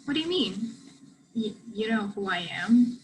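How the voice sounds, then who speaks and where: A woman reading the part of a shy, awkward young woman on a first date in a play, nervous and halting. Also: mid-range voice, expressive, a little hiss or hum, natural voice, rough recording. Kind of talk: virtual play reading, naturalistic dialogue.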